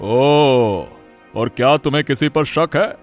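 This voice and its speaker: A man's voice: medium pitch, slow, lightly acted. Guy doing a village boss in a cartoon, mid voice, curt and commanding, light music underneath. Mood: concerned. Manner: authoritative, curt. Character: stern, commanding male village headman. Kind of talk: Hindi cartoon moral-story acting.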